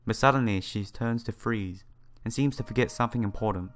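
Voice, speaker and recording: A man reading visual novel text aloud as a let's player, adding his own asides in halting phrasing. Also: fairly low pitch. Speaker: video game let's player. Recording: music behind the voice. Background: game music.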